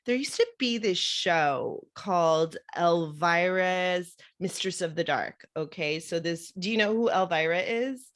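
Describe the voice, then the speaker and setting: Chatty woman podcast host, lowish voice. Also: a little slow, few pauses. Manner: chatty, animated storytelling with frequent fillers and laughs. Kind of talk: casual interview chat.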